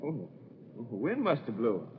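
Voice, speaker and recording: An actor playing a plain-spoken husband with folksy, ungrammatical speech in an old radio drama. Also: male voice, medium pitch, wildly swooping pitch, a little fast. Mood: puzzled. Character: plain-spoken husband soothing his wife, turning terrified. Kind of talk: 1940s radio acting. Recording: muffled, hissy old radio recording.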